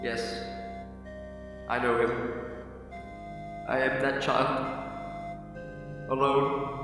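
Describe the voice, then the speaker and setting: A man's voice: mid-range voice, level tone, drawn-out words. A man playing an anxious, wistful elderly miser in an old-time radio drama, stammering and exclaiming. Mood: wistful regret. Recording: echoey hall, distant mic, music behind.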